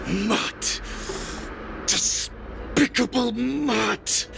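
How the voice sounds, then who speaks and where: Very gravelly, scornful villain. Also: male voice, very high voice, very slow, very noisy, heavily acted. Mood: contempt. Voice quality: gravelly, croaking. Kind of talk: dramatic video game acting.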